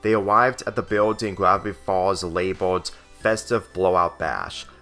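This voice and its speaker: A man narrates a story from a script in a fairly low voice. He reads it as an amateur storyteller would, with the odd slip. Music plays faintly behind him. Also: moderate pace, bright, forceful tone. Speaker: fan fiction reader. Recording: music under the voice. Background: instrumental music.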